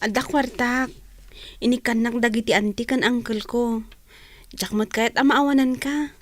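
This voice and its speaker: A woman's voice: a little high, fairly even intonation, moderate pace, close to an everyday voice. A woman acting a caring, generous young student and loyal friend in a radio drama. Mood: reassuring.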